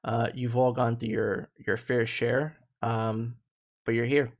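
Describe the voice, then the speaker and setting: 30s guy hosting a chill panel, gravelly voice, earnest, keeps restarting his sentences. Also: medium pitch, level tone, takes it slowly. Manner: earnest, reflective, many restarts. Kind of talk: casual live panel discussion.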